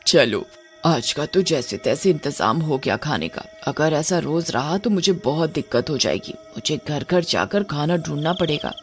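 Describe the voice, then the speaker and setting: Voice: medium pitch, expressive, normal speed, faint music behind, lightly acted. A performer voicing a hungry female witch in a cartoon story who mutters greedily to herself. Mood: worried.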